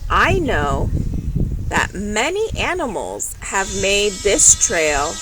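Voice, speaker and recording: A woman reads a children's story aloud at a slow, deliberate pace. Her mid-pitched voice swings expressively and leaves room for dramatic pauses. It is a home recording with loud noise behind her. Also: wildly swooping pitch. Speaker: storybook reader. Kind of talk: children's picture book read-aloud. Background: construction noise, animal sounds.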